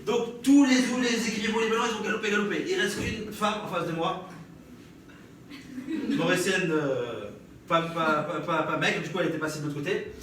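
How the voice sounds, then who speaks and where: A young French-accented man tells stand-up stories, trading banter with the crowd and breaking into laughter. His voice sits a touch high and reaches the mic from a distance. A very echoey live room with clear audience noise surrounds him. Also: normal speed. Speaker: stand-up comedian. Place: live comedy stage before an audience.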